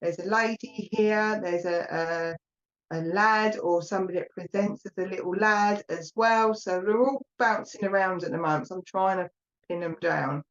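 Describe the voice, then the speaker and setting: A woman with an Essex accent giving a live psychic reading, chatty and rambling, talking warmly to viewers by name. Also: mid-range voice, moderate pace, lo-fi sound. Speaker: psychic medium. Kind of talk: live online mediumship reading.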